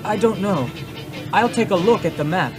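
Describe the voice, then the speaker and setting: Greek visitor, inquisitive, simply worded, learning-drama dialogue, music in the background. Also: male voice, high-pitched, expressive, moderate pace. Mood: attentive. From Greece. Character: curious Greek male visitor on a road trip. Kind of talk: scripted English-learning radio drama.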